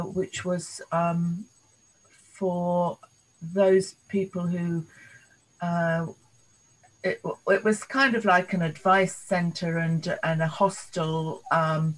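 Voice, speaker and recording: Slow, flat-voiced older woman. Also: on the low side, rough recording. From London, England. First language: Polish. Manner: thoughtful, halting reminiscing. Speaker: interviewee. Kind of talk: oral history recollection.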